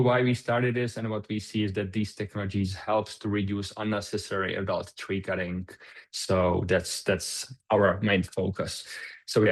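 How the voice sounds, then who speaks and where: A man from the Czech Republic whose first language is Czech, giving a technical webinar presentation over a video call, with frequent filler sounds and restarts. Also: fairly low pitch. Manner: hesitant, many fillers and restarts.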